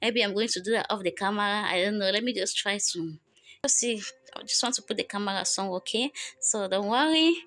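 A woman's voice: mid-range voice, fast talker, a bit bright, no echo. A young woman making a home beauty vlog, chatting to viewers as she works, recorded on a phone with no microphone. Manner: chatty, repeats herself, addresses viewers as guys. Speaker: beauty vlogger. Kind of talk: casual how-to vlog. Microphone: phone camera, no microphone.

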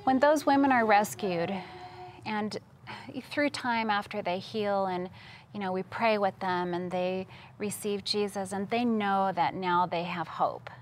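A woman's voice: medium pitch, unhurried, slight background noise. A woman in her thirties from Costa Rica, talking conversationally and earnestly as a studio interview guest. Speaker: interview guest, missions worker. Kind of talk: conversational testimony. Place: TV studio, seated at a table.

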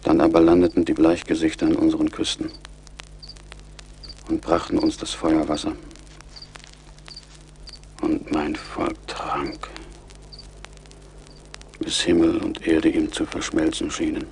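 An actor playing a grave, proud Mohican chief in a radio play, speaking in a solemn, measured, poetic way with a gravelly voice. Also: male voice, very deep voice, level tone, a little mellow, a little hiss or hum, exaggerated voice, poor audio. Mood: solemn, mournful. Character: grave, proud middle-aged Mohican chief mourning his people. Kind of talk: German radio play acting.

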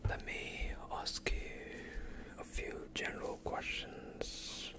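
A young man playing a polite vampire doctor in an ASMR roleplay, soothing and evasive with a sinister undertone, speaking in a hushed voice close to the mic. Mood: calmly evasive. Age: young adult. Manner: hushed, slow, courteous, evasive. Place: quiet indoor studio, dark backdrop. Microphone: close off-screen microphone.

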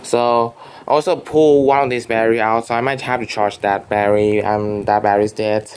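A man's voice: on the low side, level tone, normal speed, darkish tone, slight background noise. A young man whose first language is Vietnamese, casually rambling through a show-and-tell with many restarts and fillers. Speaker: phone collector vlogger. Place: bedroom at home.

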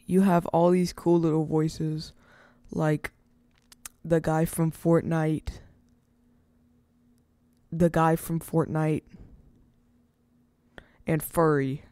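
Mellow, informal voice walking through steps. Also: medium pitch, flat delivery, extremely slow, long pauses, dry recording. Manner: rambling, informal step-by-step talk. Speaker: tutorial host. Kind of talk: casual software tutorial.

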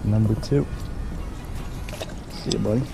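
A man's voice: fairly low pitch, unhurried, creaky, loud music behind the voice. A young man on a fishing boat, chatting casually and calling out each fish he catches, recorded on a head-mounted action camera outdoors. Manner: upbeat, excited play-by-play of catches. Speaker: fishing vlogger. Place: small boat on a lake, outdoors. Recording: outdoor wind and water noise.

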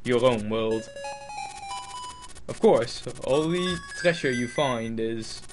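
A man's voice: mid-range voice, slow, natural voice. A man with a Dutch accent voicing a mysterious hidden male god from a video game by reading its dialogue aloud, with game music behind him. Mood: solemn. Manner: reading on-screen dialogue aloud in a slightly raised voice.

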